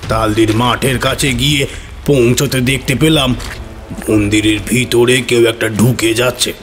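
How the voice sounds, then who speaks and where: A man acting as a worried village manservant in a horror audio drama, speaking gravely and earnestly. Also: mid-range voice, steady tone, measured pace, soft background music, close to an everyday voice. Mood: uneasy recounting. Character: Harihar, worried village manservant recounting an eerie night.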